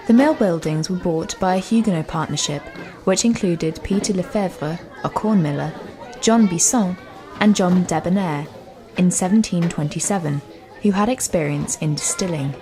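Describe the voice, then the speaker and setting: A woman reading scripted documentary narration in a measured, informative way. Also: on the low side, dark tone, a faint crowd.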